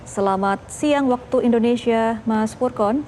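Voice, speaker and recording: A woman news anchor reading the news and interviewing a guest in a formal, measured manner. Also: on the high side, mellow, slight background noise.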